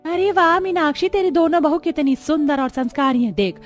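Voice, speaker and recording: Woman as a high-voiced gossipy neighbour aunty. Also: brisk, soft background music, close to an everyday voice. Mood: admiring. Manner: effusive, nosy. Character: gossipy, admiring middle-aged neighbour aunty. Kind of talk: Hindi cartoon family drama voice acting.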